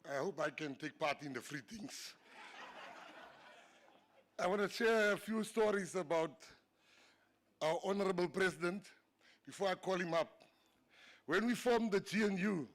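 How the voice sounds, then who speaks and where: A man's voice: high-pitched, normal speed, long pauses. A South African man whose first language is Afrikaans, a government minister giving a humorous after-dinner speech, telling stories and laughing at his own jokes. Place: banquet hall.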